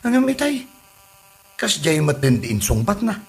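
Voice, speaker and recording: A man's voice: a little high, wildly swooping pitch, normal speed. A man playing an aggrieved, whiny freeloading husband in a comedy audio drama, with an Ilocano Filipino accent. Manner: complaining, sulky.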